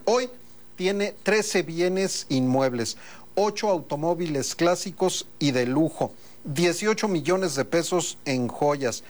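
A man reading a news report with dry, ironic asides. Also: on the high side.

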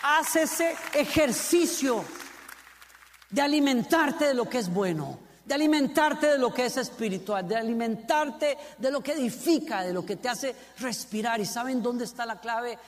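A man's voice: extremely high pitch, expressive, measured pace, some chatter behind. A man from Costa Rica with a Costa Rican Spanish accent, preaching a sermon, asking the congregation questions and building to fervent emphasis with repeated phrases. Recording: long hall reverb.

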